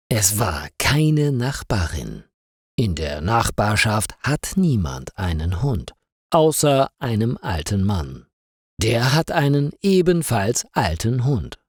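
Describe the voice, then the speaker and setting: A man narrating a scripted story for language learners in a careful, deliberate way. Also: medium pitch, a little mellow, dry recording, studio quality. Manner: careful, clearly paced for learners.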